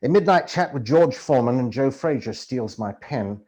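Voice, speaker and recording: Guy doing a dramatic book reading, really expressive, pitch swings all over the place. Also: normal speed. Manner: theatrical, expressive delivery. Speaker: amateur reader and voice actor.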